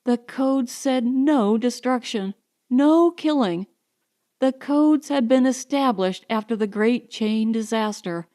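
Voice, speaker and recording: A woman narrating a science fiction audiobook in a measured, deliberate, dramatic way. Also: fairly high pitch, dark tone.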